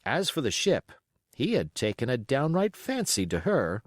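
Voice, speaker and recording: A man reading a classic adventure story aloud as an expressive audiobook narrator. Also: mid-range voice, normal speed, no echo, clean studio sound. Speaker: audiobook reader.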